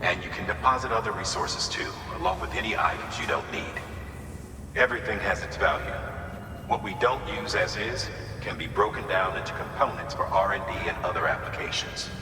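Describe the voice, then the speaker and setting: Actor as deep, matter-of-fact man, echoey. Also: a little fast, far from the mic, noisy background, close to an everyday voice. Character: practical, businesslike male facility staffer. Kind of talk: scripted video game briefing.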